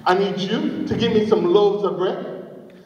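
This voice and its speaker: A man voicing a wily, flattering trickster spider in a folktale, coaxing people in a Jamaican accent. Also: sits high, measured pace. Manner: coaxing, streetwise "hey, man" patter. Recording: distant mic in echoey hall, dull.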